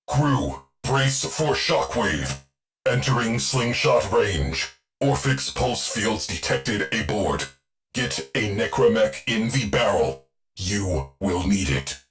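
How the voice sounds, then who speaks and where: Grim shipboard AI, low-pitched, slow deliberate pace, clipped commands, deadpan, video game. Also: male voice. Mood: urgent, stern. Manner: clipped, stern, deadpan military delivery. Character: terse, grim military ship artificial intelligence, male, commanding. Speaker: shipboard tactical officer. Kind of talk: video game mission voice lines.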